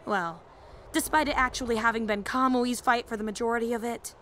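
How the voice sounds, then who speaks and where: A woman's voice: on the high side, fairly even intonation, fairly quick, fairly bright tone, slight background noise, close to an everyday voice. A woman voicing a young, nerdy female hero fan and teacher in an audio roleplay, eager, rambling and easily flustered. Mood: fascinated, analytical.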